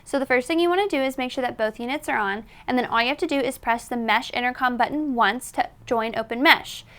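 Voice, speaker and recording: A woman's voice: a little high, quick pace, a bit bright. A woman presenting a product tutorial in an instructional, step-by-step manner. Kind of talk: tutorial narration.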